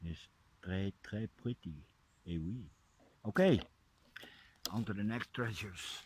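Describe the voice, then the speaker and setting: A man with a Dutch accent, softly narrating a mushroom hunt in a forest as a nature vlogger. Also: fairly low pitch, slow, slightly rough. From Netherlands. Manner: soft-spoken, drops to a hushed near-whisper up close. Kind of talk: casual narration while foraging. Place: forest, outdoors.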